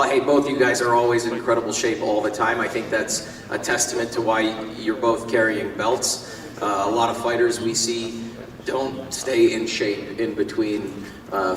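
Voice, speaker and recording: A man's voice: mid-range voice, steady tone, unhurried, a crowd in the background. A man moderating a press conference, asking fighters and promoters questions with easy fillers. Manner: smooth, leading questions with fillers. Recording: echoey hall, far from mic.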